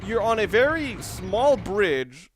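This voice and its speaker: A man's voice: high voice, sing-song, slow. A man giving off-the-cuff, rambling walkthrough commentary on a video game, instructive and often correcting himself. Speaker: gamer giving a let's play commentary. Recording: noisy, game gunfire behind. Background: video game ambience and gunfire.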